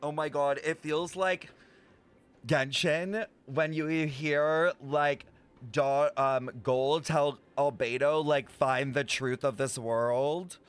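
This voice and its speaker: A man's voice: a little high, fairly even intonation, slow, smooth. A young man streaming, reacting casually to a game story, musing aloud with incredulous swearing. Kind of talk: casual live reaction chat. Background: game music.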